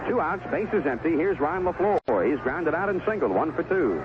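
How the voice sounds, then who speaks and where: An American man calling baseball play-by-play on the radio, in clipped phrases with unhurried pauses. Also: fairly high pitch, sing-song. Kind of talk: live baseball radio commentary. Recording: tinny, noisy 1970s AM radio broadcast.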